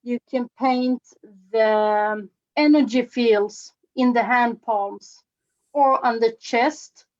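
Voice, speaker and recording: A woman from Sweden with a Swedish accent, giving an informal demonstration as a face painting instructor, with halting phrasing. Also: fairly high pitch, a little mellow. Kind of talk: informal live demonstration with commentary. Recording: slightly dull, lo-fi webinar audio.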